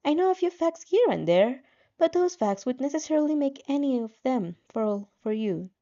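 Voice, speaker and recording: Woman as system guide, story character, matter-of-fact, cagey, explanatory, slightly raised pitch, muffled lo-fi recording. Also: big pitch swings, normal speed, dark tone. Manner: explanatory, instructive.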